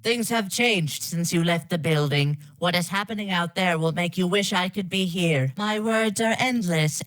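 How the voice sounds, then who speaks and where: A woman voicing a stilted, deadpan supercomputer villain in a video game parody. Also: on the low side, dry recording, close to an everyday voice, poor audio. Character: stilted female supercomputer villain speaking mangled, nonsensical threats. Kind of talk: scripted game parody lines.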